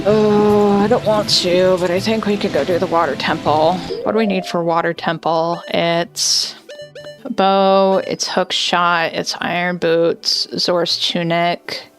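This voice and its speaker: A woman's voice: on the low side, little pitch movement, takes it slowly, over music. Chatty woman streamer thinking aloud. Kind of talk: casual game stream chat. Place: home, indoors.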